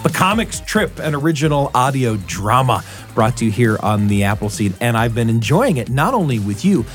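A man's voice: medium pitch, big pitch swings, normal speed. A man hosting a radio show in a studio, effusive and welcoming, with a conversational scripted delivery. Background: music with plucked guitar.

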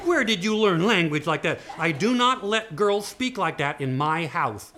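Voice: mid-range voice, lively intonation, moderate pace, fairly bright tone, some chatter behind, slight background noise, lightly acted. A storyteller voicing a folksy, easily exasperated storybook mother in a sing-song, scolding way.

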